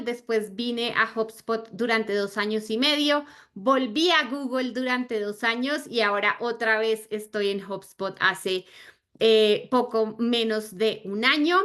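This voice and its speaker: Woman in her 30s from Argentina, chatty trainer, bright and a bit high, on a video call. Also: a little slow. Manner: friendly, chatty presenter with fillers. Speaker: sales trainer. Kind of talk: online training session.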